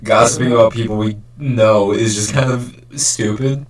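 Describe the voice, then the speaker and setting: A young man hosting a casual podcast, riffing excitedly and swearing as he jokes with friends. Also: on the low side, normal speed, poor audio. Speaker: podcast host. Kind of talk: casual joking group banter.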